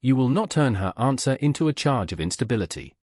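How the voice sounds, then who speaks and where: A man voicing a composed, protective duke in an audiobook, formal, calm and deliberate. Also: mid-range voice, husky, no room sound, clean studio sound. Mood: quietly firm.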